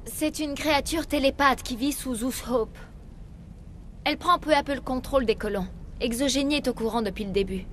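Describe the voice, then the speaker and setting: An actress playing an earnest, guilt-ridden young researcher in a dramatic game dub, speaking anxiously. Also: female voice, high voice, moderate pace, fairly bright tone, slight background noise. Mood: pleading, earnest.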